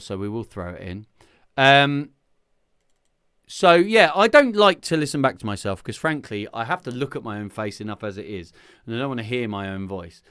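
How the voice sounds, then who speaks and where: Bright-voiced, chatty man hosting a stream. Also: medium pitch, clean tone. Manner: chatty, rambling, self-deprecating, jokey. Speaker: singing group leader and livestream host. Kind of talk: casual livestream chat to viewers. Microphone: talking microphone beside a separate vocal mic.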